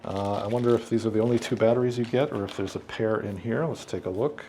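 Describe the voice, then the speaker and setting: A man's voice: fairly low pitch, fast, mellow, a little hiss or hum. A middle-aged man hosting an unboxing, talking casually and unscripted, thinking aloud as he goes. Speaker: unboxing video host, AV professional.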